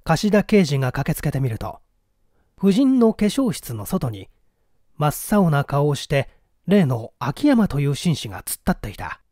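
Male audiobook narrator, mellow and dry-sounding, dramatic storytelling style. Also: fairly high pitch, big pitch swings, moderate pace, dry recording.

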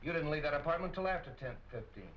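An actor playing a gruff, browbeating middle-aged police captain in a 1940s crime film. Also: male voice, a little high, animated, fast, natural voice. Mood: badgering. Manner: gruff, badgering, clipped. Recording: muffled, hissy 1940 film soundtrack.

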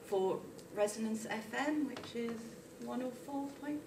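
A woman's voice: a little high, measured pace. A woman chairing a public debate, introducing the panel speakers in a seminar room. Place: small seminar room, indoors. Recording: roomy, noisy, distant microphone.

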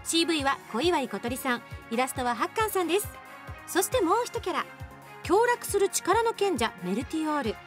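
A woman's voice: high voice, very animated, brisk. A woman reading a scripted announcement as a radio show host. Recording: faint music behind the voice. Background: background music.

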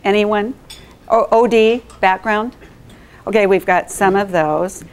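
A woman's voice: medium pitch, slow pace, clear, resonant tone. A middle-aged woman with a big voice presents live in a meeting room without a mic, engaging the audience with questions. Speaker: presenter at a professional chapter meeting.

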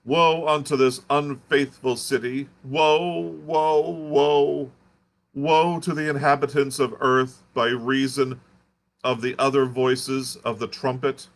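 A man's voice: medium pitch, flat delivery, slow pace, voice pushed up. A man voicing a half-mad male curate who rants and wails like a preacher. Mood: raving. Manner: fervent wailing sermon-like raving.

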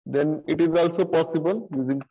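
A middle-aged man teaching programming over a screen-share video call, talking slowly and haltingly with many fillers and repeated okays. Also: a little high, dark tone. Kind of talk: screen-share coding lesson. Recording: dull lo-fi sound.

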